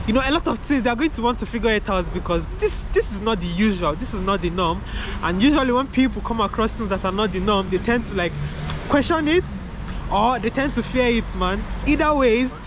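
A young man with a light, feminine-sounding voice, vlogging in a loose, repetitive way and calling the viewer "man" and "bro", recorded outdoors. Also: extremely high pitch. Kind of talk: casual to-camera talk. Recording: outdoor recording with clear traffic noise. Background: passing cars.

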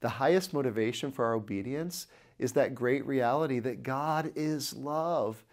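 A man's voice: medium pitch, normal speed. A man in his thirties preaching a sermon to camera, earnestly telling a personal story while gesturing, recorded in an indoor studio on a lapel mic.

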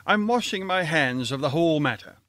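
Casting: actor as impatient college chairman, highish lively voice, brusque, radio comedy. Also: brisk, dry recording. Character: exasperated, tight-fisted college board chairman. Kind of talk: old-time radio comedy acting.

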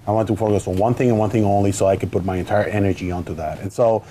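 A man in his thirties from the Dominican Republic chats on a studio cigar panel, his voice low and mellow. He talks eagerly but keeps stopping and restarting mid-sentence, caught on a lapel mic with a faint hiss under him. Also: steady tone. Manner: halting, restarts often, eager. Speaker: cigar company founder, guest. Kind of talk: casual panel talk. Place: studio set with wood shelves, indoors.

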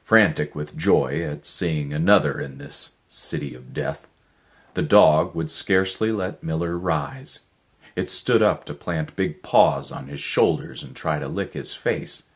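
A man in his fifties reading a story as a voice actor, in a measured, expressive, dramatic narration. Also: extremely low pitch. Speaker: voice actor, audiobook reader. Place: living room, indoors.

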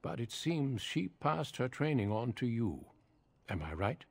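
A man's voice: big pitch swings, a little slow, no room sound, heavily acted. A man playing a grave, courteous middle-aged warrior commander in a fantasy video game, with formal, composed delivery. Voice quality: low, husky.

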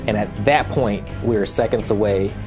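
A man speaks in a formal news interview, laying out a criminal case step by step. His voice is on the low side. Music plays clearly under him. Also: normal speed. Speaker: lawyer interviewed in a news report.

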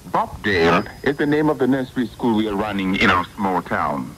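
A man's voice: fairly low pitch, expressive, fairly quick, fairly bright tone. A man reading listeners' letters aloud on a radio programme, captured from a noisy 1980s shortwave broadcast. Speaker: letter reader.

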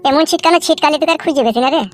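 A man voicing a heartbroken cartoon village husband, melodramatic and drawn-out. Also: very high voice, soft background music. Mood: mournful. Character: heartbroken village husband wailing for his runaway wife.

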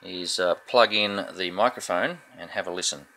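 A man's voice: sits low, moderate pace, sharp tone, a little hiss or hum, poor audio. A middle-aged man casually talking through a hands-on troubleshooting demo, informal and a little hesitant, step by step. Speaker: hobbyist builder presenting his project.

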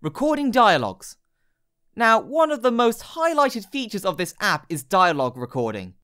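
A woman reading a scripted step-by-step tutorial in an instructional manner, giving short, clear directions. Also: medium pitch, big pitch swings, normal speed, a bit bright. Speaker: tutorial narrator.

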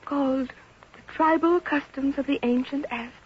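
Actress as high-voiced, woebegone lovelorn young spinster. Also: female voice, fairly even intonation, normal speed, lightly acted. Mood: earnest, confiding. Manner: earnest, fretful, melodramatic. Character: plain, lovelorn, bookish small-town spinster of twenty-three, woebegone. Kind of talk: 1950s American radio comedy acting. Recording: dull, hissy 1950s radio recording.

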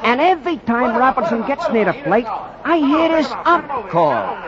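An actor playing an excitable Brooklyn fan spinning a tall tale in slangy 1940s radio style, with a Brooklyn accent. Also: male voice, very high voice, measured pace, over a noisy crowd, exaggerated voice. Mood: storytelling. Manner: colorful slangy working-class storyteller. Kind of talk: 1940s radio acting, verse-like storytelling. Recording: muffled, faintly noisy 1940s radio recording.

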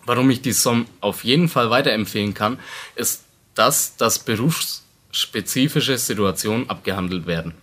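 A man's voice: medium pitch, normal speed, a bit bright, breathy edge. A man of twenty-one from a music store's studio department, giving a plain, matter-of-fact testimonial. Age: 20s. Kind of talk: testimonial to camera.

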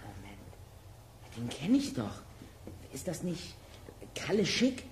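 A performer playing an articulate, excitable and slightly anxious boy detective in a children's audio drama. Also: mid-range voice, slow, slight background noise. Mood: curious.

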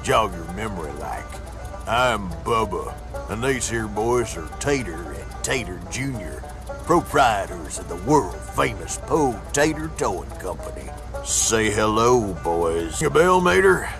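A man voicing a swaggering, folksy male tow-truck boss with a gravelly voice. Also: lowish voice, big pitch swings, a little slow, over music.

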